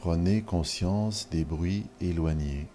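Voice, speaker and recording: Male yoga nidra guide: very low, dark voice, measured short phrases with long pauses, calm instruction for relaxation. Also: soft background music.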